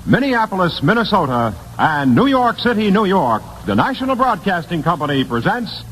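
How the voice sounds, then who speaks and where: A man's voice: sits high, big pitch swings, moderate pace, fairly bright tone, a little hiss or hum, poor audio. An American man announcing a 1950s radio quiz show from a script, formal and emphatic, on an old broadcast recording.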